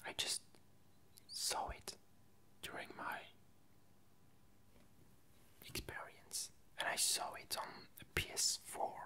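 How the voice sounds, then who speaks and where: Slow, crisp ASMR whisper. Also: pushed and bright. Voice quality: breathy, unvoiced whisper. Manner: slow, halting, rambling and self-correcting. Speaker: ASMR video creator. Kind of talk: whispered ASMR casual chat.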